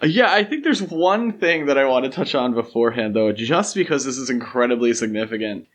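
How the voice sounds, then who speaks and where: A man's voice: mid-range voice, animated, a little fast. A man hosting a podcast, discussing film in a casual but detailed, explanatory way. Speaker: podcast host.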